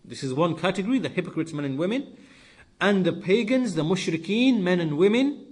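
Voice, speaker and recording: Higher-voiced man giving a religious lesson. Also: lively intonation, a little fast. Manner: emphatic, repeats key phrases. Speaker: religious teacher. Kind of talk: lesson-style sermon explaining scripture.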